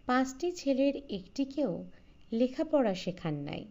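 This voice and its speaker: A woman narrating a literary short story in a measured, formal storytelling manner. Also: sits high, big pitch swings, dark tone, lo-fi sound. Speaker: audiobook narrator.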